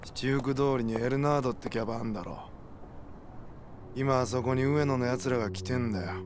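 Actor as gruff, short-tempered young yakuza man. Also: medium pitch, fairly quick, slight background noise, close to an everyday voice. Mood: gruff explaining. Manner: gruff, rough street slang, scolding. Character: short-tempered young male yakuza, bossy toward juniors. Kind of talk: video game cutscene acting.

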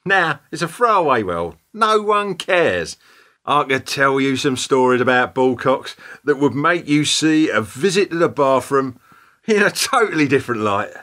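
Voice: mid-range voice, lively intonation, normal speed, a bit bright, natural voice. A performer in a radio play voicing a grumbling, chatty plumber, opinionated and full of complaints. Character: grumbling, chatty plumber lamenting a throwaway world.

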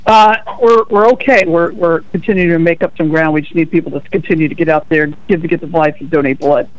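A man's voice: fairly high pitch, fairly even intonation, quick pace, no room sound. Hesitant male radio guest, muffled. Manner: hesitant, many fillers. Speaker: blood bank guest. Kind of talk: casual radio interview.

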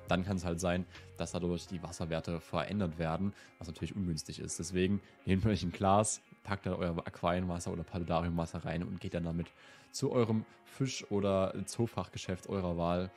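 Relaxed young man with a slight cold. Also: deep voice. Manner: relaxed, chatty, with fillers. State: slightly ill. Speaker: host. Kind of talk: casual Q&A vlog. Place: indoor room with plant wall and lit shelves.

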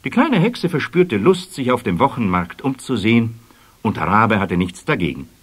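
A man narrating a children's story in an expressive, scripted storytelling manner. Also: lowish voice, normal speed, slightly rough.

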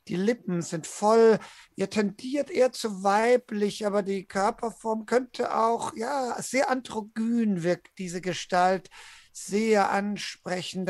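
A man narrating a tabletop roleplaying game as storyteller, in a deliberate, descriptive way. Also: sits high, no echo. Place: indoor room at home, video call.

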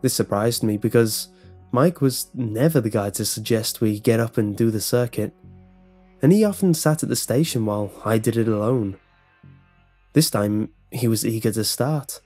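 A man's voice: on the low side, moderate pace, darkish tone, faint music behind. A man reading a scripted first-person horror story as its narrator.

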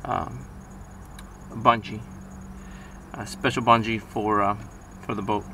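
A man's voice: fairly low pitch, fairly even intonation, slow pace, pushed and bright, slight background noise. A man giving a casual step-by-step how-to on his boat outdoors, talking plainly with fillers. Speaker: DIY boat video host.

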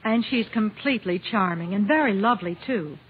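A woman's voice: medium pitch, a little fast. Woman on muffled vintage radio. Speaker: radio guest, Hollywood commentator. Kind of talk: scripted 1950s radio promotion. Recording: muffled, noisy old radio broadcast.

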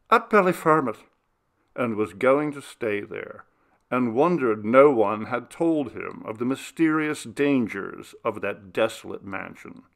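A man narrating a classic gothic story as an audiobook, in a measured, expressive literary manner. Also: mid-range voice, creaky.

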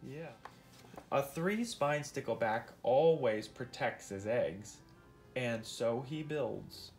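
A man's voice: medium pitch, animated, takes it slowly, darkish tone, faint music behind. A man reading a nonfiction picture book aloud to his child, explaining and asking questions as he goes. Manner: explains and asks questions as he reads.

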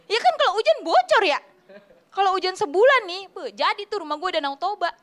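A young woman doing a stand-up comedy routine in an animated, slangy storytelling way. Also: very high voice, a little fast, a crowd in the background. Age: young adult. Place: TV studio stage, indoors. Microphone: handheld microphone.

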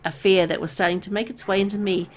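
A woman's voice: medium pitch, steady tone, quick pace. A woman reading a horror visual novel aloud as dramatic story narration.

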